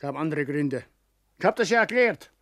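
A man's voice: fairly high pitch, lively intonation, fairly quick, fairly bright tone, no room sound, clean studio sound. An actor playing a stubborn, choleric father and provincial building contractor, speaking with a Bavarian accent in a blustering, obstinate way. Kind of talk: dialect stage-play acting.

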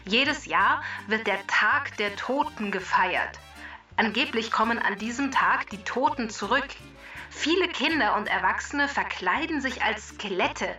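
A woman playing a female machine helper in a children's podcast, reading scripted educational narration in a measured, clearly phrased way. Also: mid-range voice, sharp tone, distant mic.

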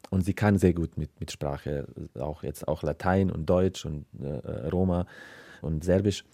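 Man, interview guest, low pitch, very soft dark timbre, hesitant, reflective, dry close studio sound. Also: a little slow, no echo. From former Yugoslavia. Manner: hesitant, searching for words, repeats words.

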